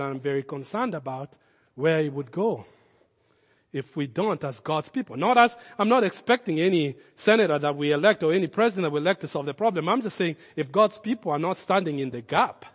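A man with a Kenyan accent, a pastor giving a sermon, swinging from loud, emphatic calls to low, earnest asides. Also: breathy edge, long reverb tail. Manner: impassioned preaching, rising loud then dropping low and intimate.

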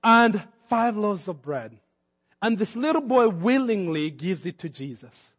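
High-voiced Kenyan-born preacher in a reverberant hall. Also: male voice, expressive, measured pace, breathy edge. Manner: preaching with rising emphatic peaks and acted-out quotes. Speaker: pastor. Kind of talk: sermon.

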